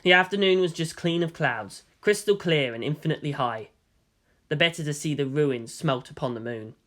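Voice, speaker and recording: A man's voice: fairly high pitch, expressive, moderate pace, a bit bright. A man reading a story aloud as dramatic scripted narration.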